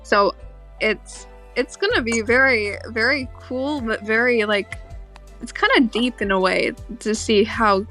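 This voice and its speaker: A woman's voice: fairly high pitch, measured pace, sharp tone, soft background music. A woman co-hosting a podcast, talking in a casual fan discussion. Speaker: podcast co-host.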